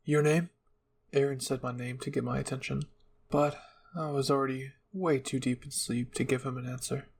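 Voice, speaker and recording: A man's voice: medium pitch, little pitch movement, brisk, creaky, breathy edge. A man reading a scripted fan fiction story as narrator, in the style of an ASMR roleplay reading.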